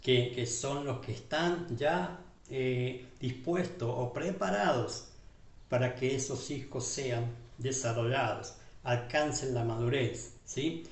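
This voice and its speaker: A man's voice: medium pitch, takes it slowly. A man with an Argentine accent, a pastor preaching a sermon, calling the listeners beloved and checking in with them often as he goes. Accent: Argentine Spanish. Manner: repeats tag questions, list-like phrasing, addresses listeners as beloved. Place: church hall. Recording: echoey church room from far off.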